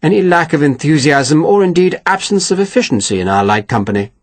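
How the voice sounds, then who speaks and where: A man voicing a calm, loyal army officer who speaks in a measured, quietly firm way. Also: a little high, no echo.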